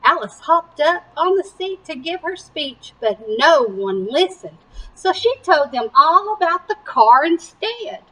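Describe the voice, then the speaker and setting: A woman reading a picture book aloud to young children with animated emphasis and simple explanatory asides. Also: high-pitched, measured pace, rough recording. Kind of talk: slow, expressive children's read-aloud.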